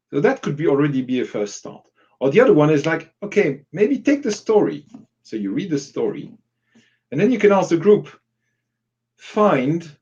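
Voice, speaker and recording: A man's voice: a little high, darkish tone, highs cut off. A man giving informal, explanatory advice as a workshop facilitator in a live online discussion, illustrating points with example questions.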